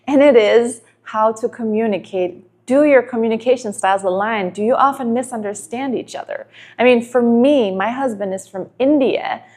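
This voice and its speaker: A woman's voice: on the high side, a little mellow. A woman from Denmark, a native Danish speaker in her thirties, giving earnest relationship advice to camera and asking many rhetorical questions.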